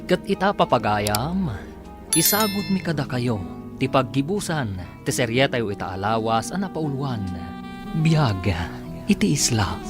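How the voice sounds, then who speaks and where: A male radio announcer with a middle-pitched voice, reading credits at a slow, measured pace. Music plays clearly behind him. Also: very animated. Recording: radio broadcast with music bed.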